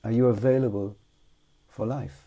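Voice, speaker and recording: A man who is not a native English speaker guides an unscripted meditation talk, reflective and halting, with long pauses. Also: mid-range voice, steady tone, warm tone, husky, highs cut off. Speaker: meditation teacher.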